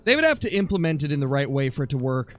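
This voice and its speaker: Male game streamer, slightly high voice, casual chat, thinking aloud, close mic, faint music behind. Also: very animated, very fast, a bit bright. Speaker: live streamer, gamer. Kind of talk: casual livestream chat over gameplay, answering viewer comments.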